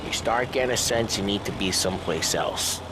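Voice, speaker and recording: A man's voice: lowish voice, moderate pace, close to an everyday voice. An actor playing a brash male gangster in a game cutscene, talking tough street slang and mocking his friends. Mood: wary. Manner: tough street slang, loud teasing laughs. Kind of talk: video game cutscene acting. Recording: car and street noise.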